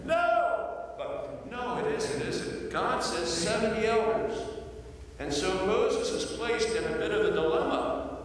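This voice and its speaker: An older male pastor preaches at an unhurried pace, telling his story with playful asides and lively quoted voices. His voice rings through a large church sanctuary, picked up from a distance with a long, roomy echo and a faint hiss in the background. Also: high-pitched. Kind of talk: sermon. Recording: echoey hall from far off.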